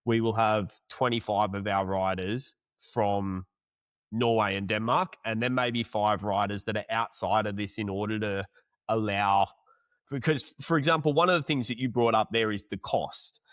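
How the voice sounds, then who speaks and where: A man's voice: lowish voice, moderate pace, fairly bright tone, no echo, clean studio sound. A male podcast host asking long, rambling questions in a casual interview.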